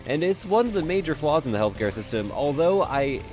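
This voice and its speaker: A man's voice: a little high, sing-song, brisk, darkish tone, faint music behind. A congested young man giving casual, rambling let's-play commentary and cursing now and then. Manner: rambling, chatty, swears casually. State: stuffy, congested. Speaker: gameplay commentator.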